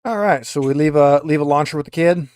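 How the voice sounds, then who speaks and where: A man's voice: a little high, fast talker, a little mellow, no room sound. Man running a tabletop game, dry close sound. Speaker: game master. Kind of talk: narrating a tabletop roleplaying game.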